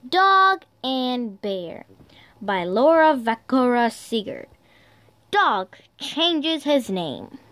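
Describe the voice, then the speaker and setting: A woman reading picture books aloud for toddlers in a sing-song, deliberate, child-directed way. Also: a little high, no room sound. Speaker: storyteller, video host.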